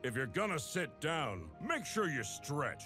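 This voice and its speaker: An actor voicing an ancient, battle-hardened male warrior in a video game, gravelly, with a wry, nonchalant delivery. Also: fairly high pitch, very animated, unhurried, bright, forceful tone, soft background music, full character voice. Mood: wry, teasing. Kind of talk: video game character voice lines.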